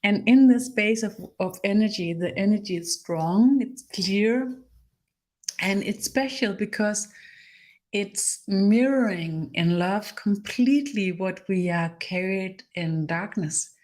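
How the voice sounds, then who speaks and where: A woman with a Danish accent, her first language Danish, leading a live-streamed spiritual healing session in a halting way, pausing to search for words. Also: mid-range voice, darkish tone. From Denmark. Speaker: energy healer.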